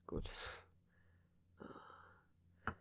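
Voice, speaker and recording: A man acting a friendly, flirty, apologetic vampire in a soft ASMR roleplay, gentle and hesitant, with a husky voice that often drops toward a whisper. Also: extremely slow. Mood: concerned, gentle. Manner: gentle, hesitant, teasing, with soft chuckles and endearments.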